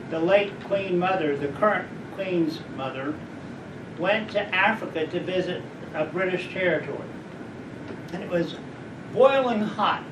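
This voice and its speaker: A middle-aged man with a fairly high voice speaks to a class in a rambling, anecdotal way and keeps putting questions to the listeners. He is picked up from a distance in an echoey lecture room. A steady hum of background noise runs underneath. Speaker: guest speaker. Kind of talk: informal lecture to students.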